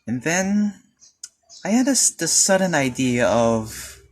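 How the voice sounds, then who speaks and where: Hesitant young Filipino guy, slow. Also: on the high side, very animated. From Philippines. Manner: hesitant, halting, many fillers and restarts. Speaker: student. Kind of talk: personal confession monologue.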